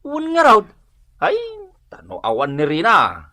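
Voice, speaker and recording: An actor with an Ilocano accent playing an excitable, exclamatory village gossip in a radio comedy-drama. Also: male voice, a little high, measured pace, heavily acted. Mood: gossipy. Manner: swooping, exclamatory.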